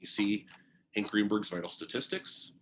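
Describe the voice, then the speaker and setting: A man's voice: lowish voice, moderate pace, slight background noise. Man reading a biography aloud. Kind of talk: read-aloud narration of a children's biography.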